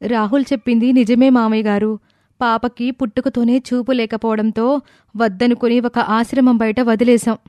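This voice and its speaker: A woman playing an anxious higher-voiced daughter-in-law. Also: level tone, moderate pace, darkish tone, no room sound, lightly acted. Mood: anxious, apologetic. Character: anxious, deferential daughter-in-law in a family tale. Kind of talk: acted dialogue in an audio moral story.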